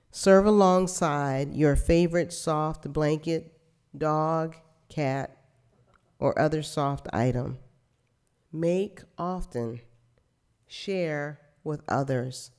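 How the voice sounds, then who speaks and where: A woman who serves as worship leader, reading aloud at a church service and introducing a speaker, measured and with a light wry aside. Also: sits low, a little mellow.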